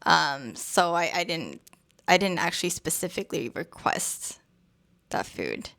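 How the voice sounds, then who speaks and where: Casting: young woman, Cantonese first language, lowish gravelly voice, hesitant and soft, casual podcast chat. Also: sing-song, measured pace. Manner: soft, hesitant storytelling with many fillers. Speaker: co-host.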